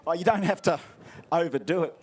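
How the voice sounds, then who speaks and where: A man's voice: on the high side, brisk. An Australian man acting as MC at an outdoor event, handing out prizes with playful, teasing crowd banter.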